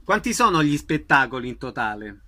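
A man's voice: mid-range voice, sing-song, a little fast, sharp tone. A man working as a radio interviewer, asking short, informal questions in a conversational way.

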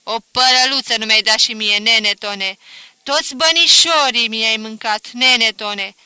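Reader doing a keening village widow, loud high lament, bright and crisp, dry sound. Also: no echo. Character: wailing grieving peasant mother, widow. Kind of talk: audiobook character voice.

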